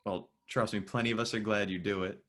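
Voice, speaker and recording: A man's voice: lowish voice, fairly even intonation, brisk, fairly bright tone. A man interviewing a guest, asking friendly questions with frequent restarts. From United States. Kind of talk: casual interview.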